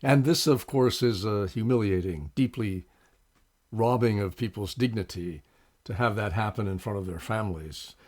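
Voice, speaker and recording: A man's voice: fairly low pitch, normal speed, darkish tone. Earnest male interviewer. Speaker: interviewer, co-host. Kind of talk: interview questions and introduction.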